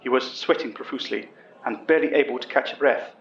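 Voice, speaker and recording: Gravelly male storyteller in an echoey room. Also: medium pitch. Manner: literary, deliberate storytelling. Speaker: narrator. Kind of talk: scripted dramatic story narration. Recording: muffled, echoey room sound.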